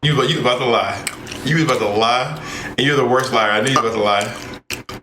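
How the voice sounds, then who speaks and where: A man's voice: mid-range voice, fairly quick. A man in his early thirties bantering and telling stories as a podcast co-host, sassy, theatrical and teasing. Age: early 30s. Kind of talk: casual comic banter and storytelling. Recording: echoey room, clear background noise.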